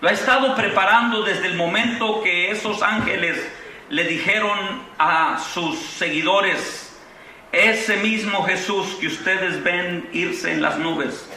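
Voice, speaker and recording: Mexican preacher in an echoing convention hall. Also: male voice, high-pitched, takes it slowly, slight background noise. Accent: Mexican Spanish. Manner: impassioned preaching with rising exclamations, then relaxed storytelling. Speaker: pastor. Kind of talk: sermon. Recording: echoey hall from far off.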